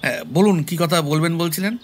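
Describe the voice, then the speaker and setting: An actor playing a polite, obliging police officer in an audio drama. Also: male voice, a little high, brisk, a little mellow, slight background noise, natural voice. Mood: eager, agreeable.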